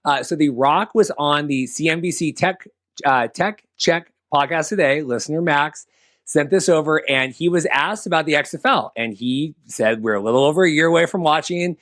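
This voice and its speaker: A man's voice: on the high side, normal speed, a bit bright, dry recording, studio quality. A man hosting a sports podcast, talking casually in a rambling, conversational way. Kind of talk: casual sports talk with banter.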